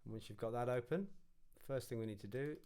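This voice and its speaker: A man giving an informal, step-by-step music software tutorial, thinking aloud as he instructs. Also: medium pitch, quick pace, smooth.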